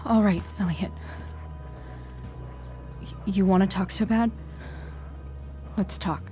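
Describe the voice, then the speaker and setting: A woman plays a rebellious teenage girl in a naturalistic game drama. She talks slowly and haltingly, with guarded, sarcastic slang. Music plays behind her. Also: medium pitch, steady tone, dark tone, natural voice. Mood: careful, placating. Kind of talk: naturalistic video game voice acting.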